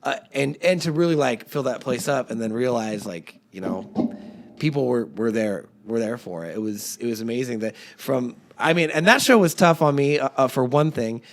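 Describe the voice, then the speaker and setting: A man hosting a casual chat with his bandmates, rambling through stories with many fillers and restarts, his voice a little raspy. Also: medium pitch, lively intonation, moderate pace. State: slightly raspy voice. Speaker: podcast host and band singer.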